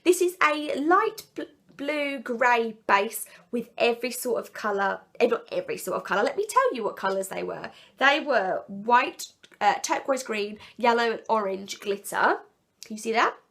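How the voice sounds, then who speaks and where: Sick croaky woman, congested, chatty and enthusiastic, slightly high voice, casual vlog review. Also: a bit bright. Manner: chatty, enthusiastic, rambling with self-corrections. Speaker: nail polish vlogger.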